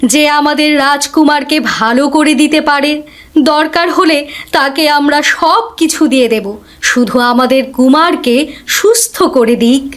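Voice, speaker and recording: A woman's voice: high voice, unhurried, fairly bright tone. A woman playing an anguished queen and doting mother in a fairy-tale cartoon, pleading with emotion. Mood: distressed, pleading.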